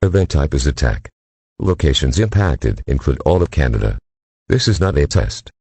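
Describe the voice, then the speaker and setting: A man's voice: a bass voice, big pitch swings, moderate pace, vocal fry, dark tone, slightly rough, no room sound. A man delivering a scripted emergency broadcast announcement in a stern, formal, synthetic-sounding manner.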